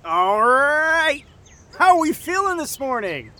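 A performer plays a peppy youth camp leader rallying a group in an audio drama. The delivery is very animated, swinging up and down with teasing, exaggerated cheer. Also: high-pitched, takes it slowly, exaggerated voice. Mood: playful rousing.

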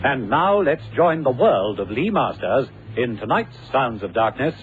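A man's voice: mid-range voice, very animated, unhurried. A man reading a scripted introduction as the announcer of a vintage radio drama. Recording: tinny old radio broadcast with music. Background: music.

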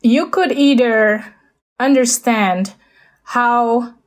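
A woman's voice: fairly high pitch, very slow. A woman with an Indonesian accent, a guest speaking over a video call, talking hesitantly with many fillers and restarts. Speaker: guest, space architect.

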